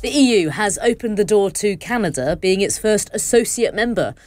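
A woman's voice: medium pitch, animated, moderate pace, darkish tone, dry recording, soft background music. A British woman reading a scripted news bulletin on the radio. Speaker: radio newsreader.

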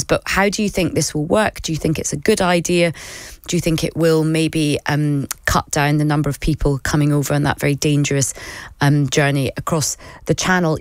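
A woman presenting a radio phone-in show, slightly croaky today, talking conversationally with some fillers and restarts. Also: deep voice, a little mellow, no room sound.

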